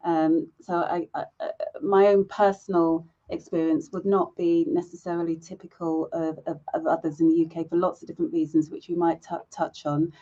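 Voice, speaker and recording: A woman speaking as a panelist on a video call, measured and deliberate, pausing often. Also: on the low side, little pitch movement, dark tone, highs cut off. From England. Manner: measured and deliberate, many pauses with "um".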